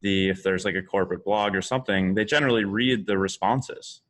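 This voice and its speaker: A man on a podcast panel chatting casually, sharing tips in a loose, halting way as he thinks aloud. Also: deep voice, steady tone, fairly bright tone.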